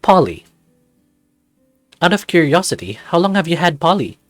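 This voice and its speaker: A man's voice: a little high, big pitch swings, moderate pace, a bit bright, no room sound, soft background music, pitched above the performer's own voice. A man voicing an eager, curious young lifeguard in a visual novel game, friendly and slightly unsure.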